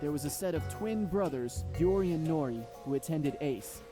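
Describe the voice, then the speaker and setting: A man voicing an excitable, jokey teenage boy pilot, dramatic and slangy, in visual novel dialogue. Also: a little high, normal speed, over loud music, pitched below the performer's own voice, natural voice. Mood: spooky, serious.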